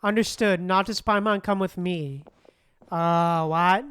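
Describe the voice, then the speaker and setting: Clear-voiced woman streaming a game. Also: on the low side, slow, a bit bright. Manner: casual, corrects misreadings. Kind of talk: gameplay commentary, reading dialogue aloud.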